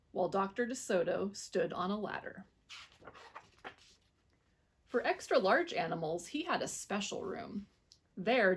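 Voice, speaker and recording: A woman reads a children's picture book aloud in a clear, medium-pitched voice. She tells the story in an expressive, animated way for young listeners.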